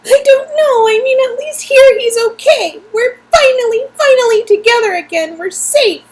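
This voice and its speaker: A woman's voice: extremely high pitch, normal speed, pitched above the performer's own voice. A woman voicing an anxious young woman in love, pleading in an emphatic, emotional way, as in a dramatic game reading. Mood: anxious, wistful.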